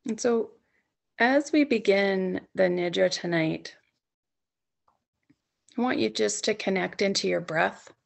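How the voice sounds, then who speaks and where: A woman leading a guided sleep meditation as a yoga nidra instructor, speaking soothingly in gently coaxing, unhurried phrases with long pauses. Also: medium pitch, vocal fry.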